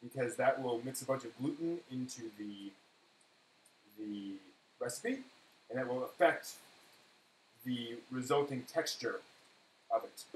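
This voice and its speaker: A man talks casually through a cooking demonstration, often muttering to himself and correcting himself as he works. His voice is mid-pitched and sits in an echoey kitchen, with faint noise behind him. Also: unhurried, long pauses. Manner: rambling, self-correcting, muttering to himself while working. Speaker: live stream host. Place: indoor kitchen at a counter. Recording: echoey room, clear background noise.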